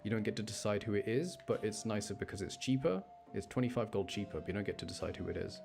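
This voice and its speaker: Low-voiced British man streaming, music behind. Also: fast, creaky. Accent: British, generic English. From English Midlands, UK. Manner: chatty, rambling, thinking aloud. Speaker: live streamer playing a video game. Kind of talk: casual chat with gameplay commentary. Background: game music.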